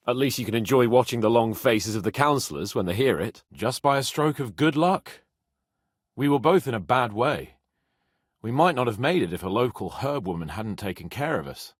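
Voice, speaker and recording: Casting: a young nobleman in a medieval game, lordly and loyal, lively intonation, rough-edged voice. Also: mid-range voice, no echo, studio quality. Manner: expressive, lordly, exclamatory. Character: spirited young nobleman in medieval Bohemia, haughty yet loyal. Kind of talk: dramatic video game voice acting.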